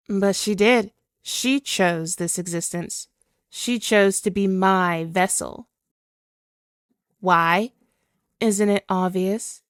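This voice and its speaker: A woman's voice: mid-range voice, no room sound, clean studio sound. A woman playing a spirit villain possessing a woman's body, obsessive and tormented, in a theatrical, brooding ASMR roleplay monologue. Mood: cold, taunting. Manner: slow, theatrical, brooding, dwelling on words.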